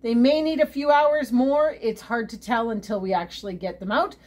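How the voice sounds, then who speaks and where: Woman, home cook and channel host; upbeat, chatty, thinks aloud, voice sits a bit high. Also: steady tone, moderate pace, darkish tone. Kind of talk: casual how-to cooking video. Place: home kitchen.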